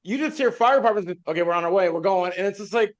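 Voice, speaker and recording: An American man with a noticeably high voice chats casually on a panel. He tells stories conversationally, voicing the people he quotes. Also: lively intonation, fast, lo-fi sound. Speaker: podcast panelist, leadership instructor. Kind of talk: casual chat with anecdotes.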